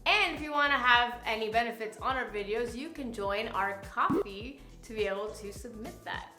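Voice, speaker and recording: Higher, bright woman with music behind. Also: expressive, moderate pace. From United States, Miami. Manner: slangy, sweary. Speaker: reaction channel host. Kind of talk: casual music video reaction chat. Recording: roomy echo, music playing behind.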